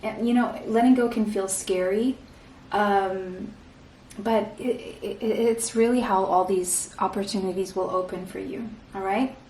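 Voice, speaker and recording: Woman from portugal doing a tarot reading, soft and reassuring, keeps clearing her throat, a bit off-mic in an echoey room. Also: medium pitch, fairly even intonation, a little slow, distant mic, a little hiss or hum. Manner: soft, reassuring, often ends points with "okay?". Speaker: tarot reader. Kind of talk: pick-a-card tarot reading.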